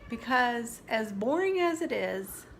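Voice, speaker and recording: A woman in her forties, stuffed up from allergies, talking softly and confidingly in a personal vlog, sometimes dropping to near-whispers. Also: fairly high pitch, very animated, slow pace, soft background music. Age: 40s. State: congested from allergies. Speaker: vlogger. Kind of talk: reflective personal vlog talk. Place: sitting indoors at home.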